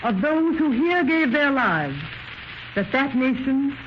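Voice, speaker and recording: A woman reciting formally in a measured, declamatory manner on 1930s radio. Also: high-pitched, expressive. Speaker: actress demonstrating microphones. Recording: noisy muffled 1930s radio recording.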